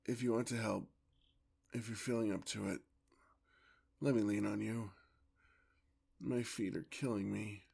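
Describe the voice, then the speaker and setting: Male actor as courtly male vampire, weary, tender, husky, unhurried, old-fashioned phrasing, ASMR roleplay. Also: fairly low pitch, exaggerated voice. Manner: formal, old-fashioned, endearment-laden speech.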